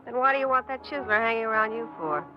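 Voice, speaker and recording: A woman's voice: on the high side, expressive, fast, close to an everyday voice. An actress playing a brassy, world-weary dance-hall hostess in her thirties, blunt and knowing, in 1950s radio drama style. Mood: wry, probing. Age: 30s. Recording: muffled 1950s radio recording with music.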